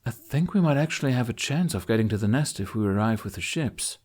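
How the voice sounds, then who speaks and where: A man's voice: mid-range voice, animated, fast, a little mellow, natural voice. A man voicing a heavyset, earnest, brainy teenage Viking boy who thinks aloud, in an audiobook. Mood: musing, excited.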